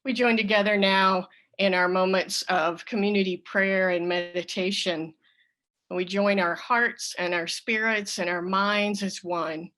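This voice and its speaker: A woman leading a worship service in spoken prayer and meditation, with measured, reverent phrasing. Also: mid-range voice, a bit bright.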